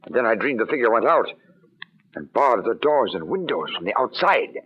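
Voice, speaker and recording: A man's voice: mid-range voice, sing-song, normal speed, breathy edge, muffled recording. An actor playing an agitated lover in an old radio thriller, emphatic and theatrical as he strains to stay rational. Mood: uneasy. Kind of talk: 1940s radio thriller acting. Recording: noisy old band-limited radio recording.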